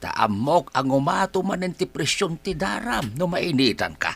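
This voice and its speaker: A man's voice: fairly high pitch, very animated, normal speed, vocal fry, a bit bright, breathy edge, full character voice. An actor playing an ailing, grumpy old Ilocano grandfather who grunts and complains in a radio drama. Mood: weary, muttering. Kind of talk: Philippine radio drama acting.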